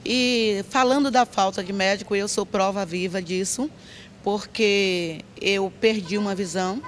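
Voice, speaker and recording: A woman's voice: medium pitch, steady tone, unhurried, slight background noise. A woman with a Bahian Brazilian accent giving spontaneous personal testimony in a street interview. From Brazil, interior of Bahia, raised in Salvador. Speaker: member of the public, community leader and church president. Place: outdoor public square, on a small stage. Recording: outdoor TV recording with street ambience.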